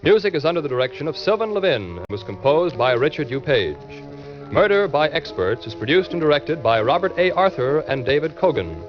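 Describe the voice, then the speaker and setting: A man's voice: on the high side, very animated, fairly quick. A man announcing scripted credits in a formal, polished 1940s radio style. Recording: dull old radio recording, loud music. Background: music.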